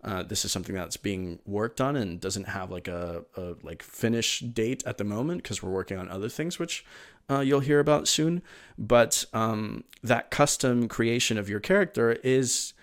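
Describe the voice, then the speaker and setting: A man in his thirties from Venezuela, with a slightly low voice. He tells his story eagerly in a loose, rambling way, full of "uh"s and self-corrections. It sounds like a relaxed interview conversation. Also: moderate pace. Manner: eager, rambling with many fillers and restarts. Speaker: podcast guest.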